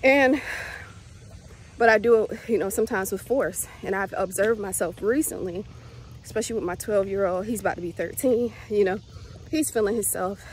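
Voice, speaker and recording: A woman's voice: medium pitch, moderate pace. A woman, speaking in a candid, reflective monologue straight to camera. She laughs often and speaks with spiritual conviction. A faint hiss sits behind her voice. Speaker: vlogger, mother of three.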